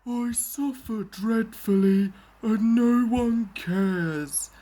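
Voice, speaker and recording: A woman voicing a sickly, mournful male steam engine in a children's storybook, with plaintive, drawn-out complaining. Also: on the high side, warm tone, pitched above the performer's own voice, rough recording.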